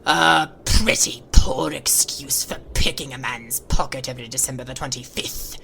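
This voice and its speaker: A woman's voice: very deep voice, moderate pace, gravelly, sharp tone, a little hiss or hum, full character voice. A woman voicing a bitter, miserly old man who sneers at everyone in a harsh half-whisper. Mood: grudging. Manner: sneering, clipped.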